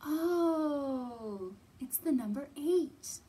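Slow, lilting woman hosting children's storytime. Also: sits high, expressive, mellow. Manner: simple questions to small children, drawn-out words, thoughtful hums. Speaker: children's librarian and storytime host. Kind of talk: children's storytime teaching, read-aloud. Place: indoors in a library.

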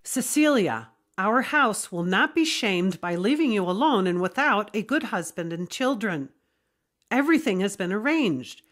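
A woman's voice: mid-range voice, animated, measured pace, close to an everyday voice. An actress playing a stern, unyielding middle-aged Roman mother in an audio drama, with a commanding manner.

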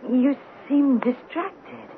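An actress playing an attentive, concerned office secretary in a 1970s radio drama. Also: female voice, high voice, animated, extremely slow, vocal fry, full character voice. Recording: narrow-band 1970s radio broadcast, faint noise.

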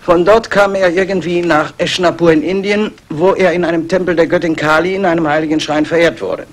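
An actor playing a diligent police assistant, precise and matter-of-fact, in a 1960s crime radio play. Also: male voice, a little high, fairly even intonation, brisk, close to an everyday voice. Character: diligent male police assistant reporting findings. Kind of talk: 1960s German radio crime drama acting.

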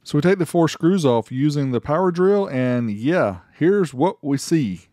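A man's voice: fairly high pitch, expressive, measured pace, dark tone, dry recording, clean studio sound. A man giving a casual, informal product review voice-over as the host, with a folksy way of talking. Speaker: host reviewing car audio amplifiers.

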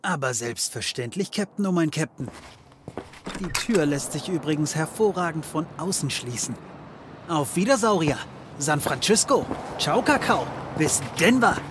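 An actor playing a cynical ex-cop private detective in a comedy audio drama, mocking and theatrical. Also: male voice, on the high side, sing-song, a little slow, noisy background. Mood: gloating mockery.

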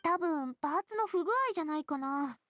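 A woman playing a spirited, kindhearted young lady in an anime game, speaking in a casual, feminine, expressive way. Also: sits high, fairly quick, no echo, clean studio sound. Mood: breezy. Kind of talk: Japanese anime game voice acting. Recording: music bed behind the voice.

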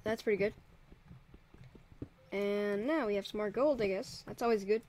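A man's voice: high-pitched, normal speed, a little mellow. Young man, rambling let's play chatter, jumpy exclamations, home desk mic, slight noise. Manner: chatty, easily startled, rambling. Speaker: server owner and host. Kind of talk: casual Minecraft let's play commentary. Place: home room by a window. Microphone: desk mic, drifts off it.

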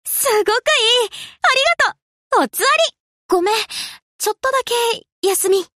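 A woman's voice: extremely high pitch, normal speed, fairly bright tone, no echo, clean studio sound. A woman playing an easygoing, slangy young navy destroyer girl in an anime game, dutiful but fond of rest. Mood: breezy. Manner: casual slangy girlish speech. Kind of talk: Japanese anime game character voice lines.

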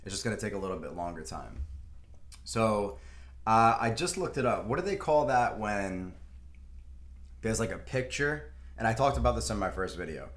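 A man's voice: fairly low pitch, very animated, moderate pace, fairly bright tone, roomy sound. Casual young man explaining stock charts. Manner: rambling, filler-heavy, laughs to himself. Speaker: stock market video host. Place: room at a desk, in front of a screen.

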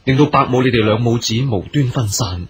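A man's voice: mid-range voice, brisk, husky. A man acting a courteous, earnest military commander in a period radio drama, speaking formally in Hong Kong Cantonese. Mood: solemn, devoted. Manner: formal, stately, gracious.

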